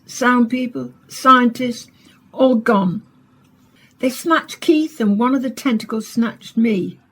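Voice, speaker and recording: An actress playing a dramatic, urgent female news reporter with a husky voice in a radio play. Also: on the high side, a little mellow, poor audio. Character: female field radio reporter, distressed witness of alien invasion.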